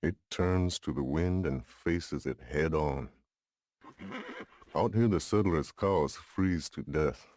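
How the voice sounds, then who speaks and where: Very deep, grave Lakota elder. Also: male voice, darkish tone, dry recording, clean studio sound. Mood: wise, steady. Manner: grave, deliberate, proverbial. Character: wise, resolute older Lakota uncle and leader. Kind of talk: scripted game cutscene acting.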